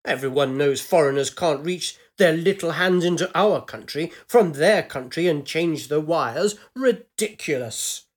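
A bullying man in power, acted out by a story narrator, paranoid and full of himself. He speaks in loud, indignant outbursts and accuses whoever is in front of him, in the manner of an audio short story. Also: medium pitch, lively intonation, moderate pace, lightly acted. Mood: indignant scorn.

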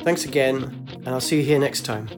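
A man with a croaky, slightly worn voice narrates a story. He reads at a steady, measured pace in an ordinary mid-range pitch. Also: over music.

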